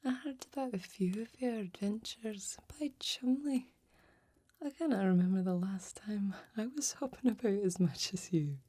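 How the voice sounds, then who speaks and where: A woman playing a friendly, folksy fantasy blacksmith in a soft-spoken, mostly whispered ASMR roleplay, with gentle, quaint old-fashioned phrasing. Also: mid-range voice, moderate pace, close to an everyday voice. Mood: warm, admiring.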